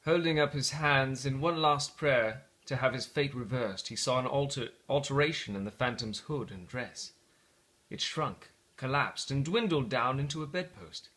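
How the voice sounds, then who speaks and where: A man reading a storybook aloud as dramatic narration, with theatrical, deliberate phrasing. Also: medium pitch, a bit bright. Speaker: storybook reader.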